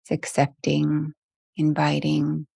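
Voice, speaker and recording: A woman leading a guided meditation as a teacher, speaking gently and soothingly with long, unhurried pauses. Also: deep voice, completely flat delivery, gravelly, breathy edge, no echo, studio quality.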